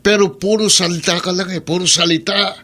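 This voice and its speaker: A man's voice: high voice, steady tone, fairly quick, pushed and bright. An actor playing a stern old grandfather, scolding and nagging in a radio drama. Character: stern, grumbling old grandfather who favors discipline.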